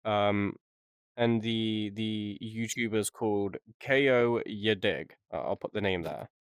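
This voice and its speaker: A man with a fairly low voice chats as a podcast co-host, recorded close and dry. He rambles through loose, joking banter and swears freely. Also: slow, smooth, no echo. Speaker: podcast co-host and video editor. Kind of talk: casual unscripted podcast banter.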